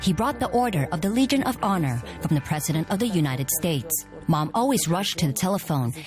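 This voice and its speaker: A woman reads a documentary voice-over in a low, dark-toned register. Her delivery moves through a wide, animated pitch range, and music plays clearly behind her. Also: brisk. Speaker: voice-over translator.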